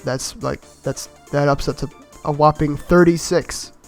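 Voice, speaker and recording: Slightly raspy man narrating gameplay. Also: on the high side, fairly even intonation, measured pace, faint music behind. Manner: easygoing, rambling, self-mocking with chuckles. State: slightly raspy from being sick. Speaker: gameplay commentator. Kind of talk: casual voice-over commentary on a game run.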